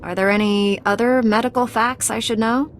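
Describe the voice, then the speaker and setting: A woman's voice: steady tone, normal speed, natural voice. A woman playing a composed, resolute female knight in a science fiction video game. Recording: clear background noise, low rumble.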